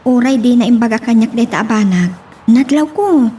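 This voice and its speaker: A woman's voice: fairly high pitch, lively intonation, brisk, warm tone, breathy edge, distant mic. A woman with an Ilocano accent acting an inquisitive, chatty young woman in a romance audio drama. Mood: curious.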